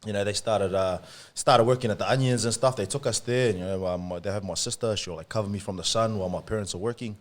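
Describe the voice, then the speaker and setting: A man's voice: lowish voice, fairly even intonation, fast talker, hardly any pauses. A man in his late twenties with a New Zealand accent, telling stories in a casual podcast chat, laughing often and dropping "eh" and "man". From Samoa, raised in New Zealand. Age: late 20s. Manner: laid-back, laughs often, uses "eh" and "man". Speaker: podcast guest.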